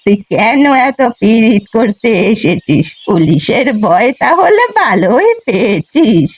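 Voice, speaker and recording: A woman voicing a kindly but wary old village grandmother in a cartoon, slow and knowing. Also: medium pitch, very animated, breathy edge. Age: older. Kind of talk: Bengali cartoon voice acting.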